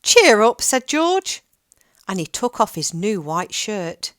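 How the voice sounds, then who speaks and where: Lady reading a kids' book, slow and gentle, clear smooth voice, dry sound. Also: mid-range voice, no echo. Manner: gentle, expressive. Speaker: storyteller. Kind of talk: children's picture-book reading.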